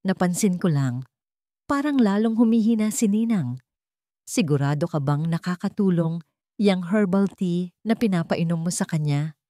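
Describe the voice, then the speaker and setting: A woman storyteller plays a troubled businessman in an audio drama, a husband and pleading lover. The voice is fairly low and dramatic, with earnest, controlled delivery. The sound is close and dry. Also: animated, normal speed, warm tone, dry recording, natural voice. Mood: calm, probing.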